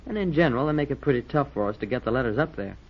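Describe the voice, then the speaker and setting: A muffled old radio recording of a keen young cadet. He talks very quickly, with boyish, emphatic earnestness. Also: medium pitch, expressive. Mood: keen, insistent. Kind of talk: old-time radio drama acting.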